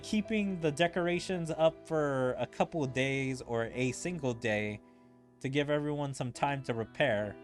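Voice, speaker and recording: A streamer giving casual, rambling and hesitant commentary while playing through a video game story. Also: mid-range voice. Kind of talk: casual gameplay commentary mixed with reading dialogue aloud.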